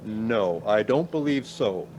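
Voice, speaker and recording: An actor playing a cautious, pedantic older professor in a naturalistic radio play, formal, dry and reserved in manner. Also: male voice, lowish voice, expressive, slow pace, a little mellow, a little hiss or hum, low-quality recording. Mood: irritated, stern.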